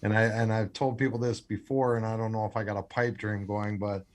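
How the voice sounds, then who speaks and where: Earnest American man, halting speech. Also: lowish voice, level tone. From United States. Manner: earnest, halting, many restarts. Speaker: radio guest, scholarship founder. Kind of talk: phone-in radio interview.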